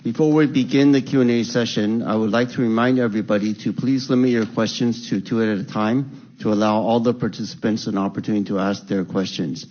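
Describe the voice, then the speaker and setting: Male earnings call moderator, lower register, courteous and businesslike, slightly muffled lo-fi sound. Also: fairly even intonation, fairly quick. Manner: polite, procedural. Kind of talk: formal scripted call moderation. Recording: dull band-limited conference call audio.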